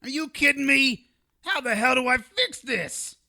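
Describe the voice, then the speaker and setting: A man's voice: extremely high pitch, lively intonation, measured pace, gravelly, pushed and bright, heavily acted. An actor playing a cranky, put-upon male sidekick in a comedic audio drama, mouthy and full of exasperated complaints.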